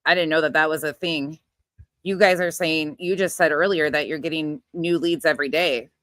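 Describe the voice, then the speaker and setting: A woman's voice: sits low, fairly even intonation, moderate pace, a bit bright, no echo, clean studio sound. A woman giving informal reaction commentary in a blunt, exasperated, sweary way. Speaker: online commentator reacting to another streamer.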